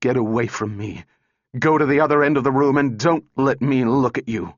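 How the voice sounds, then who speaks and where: A man's voice: on the low side, sing-song, slow, heavily acted. A man voicing a sardonic, overwrought woman scientist in a storybook reading, sneering and intense. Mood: shrill fury. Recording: echoey room, dull muffled sound.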